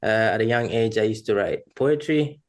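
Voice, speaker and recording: A man's voice: mid-range voice, moderate pace, darkish tone. A man from Rwanda chatting casually as a podcast guest, candid and self-deprecating. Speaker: podcast guest, freelance creative. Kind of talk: casual personal chat.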